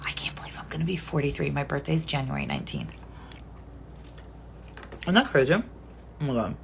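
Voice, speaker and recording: A woman's voice: sits low, huge pitch swings, a little fast, gravelly, slight background noise. A woman in her forties chatting casually on a live stream, rambling and answering viewer comments as they come in. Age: 40s.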